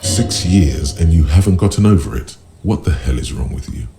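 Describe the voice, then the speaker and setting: An actor voicing the slow, solemn inner voice that narrates a troubled detective's thoughts in a game, with music behind. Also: male voice, rumbling low, animated. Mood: scornful.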